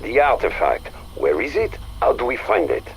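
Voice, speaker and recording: An actor voicing a menacing, crude crime boss in a video game audio log, sardonic and needling, often tagging sentences with "huh". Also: male voice, medium pitch, big pitch swings, normal speed, gravelly, poor audio. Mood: threatening. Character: menacing, crude male crime boss running a covert operation.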